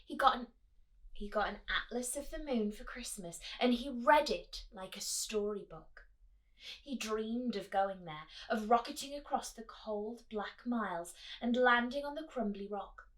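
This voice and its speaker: A woman reading a picture book aloud to children, expressive and speaking directly to her young listeners with chatty asides. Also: a little high, a little slow, recorded from a distance, rough recording.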